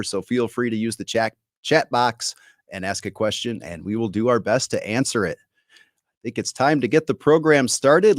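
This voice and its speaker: A man's voice: mid-range voice, no room sound. A man hosting a live interview program from a station studio. He reads a scripted welcome, then talks loosely with many fillers. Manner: friendly, many fillers and restarts when unscripted. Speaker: host.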